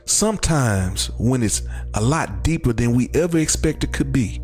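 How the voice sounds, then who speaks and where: Man, talk show guest, earnest testimony, average pitch, repeats for emphasis, soft background music. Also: animated, normal speed. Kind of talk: personal testimony with affirming interjections.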